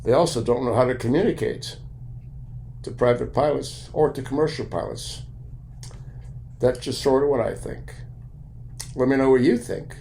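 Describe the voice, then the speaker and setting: An older man with an American accent giving a blunt, opinionated monologue in deliberate, broken-up phrases, with a gravelly voice. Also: mid-range voice, dark tone. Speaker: video commentator and private pilot. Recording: light background noise.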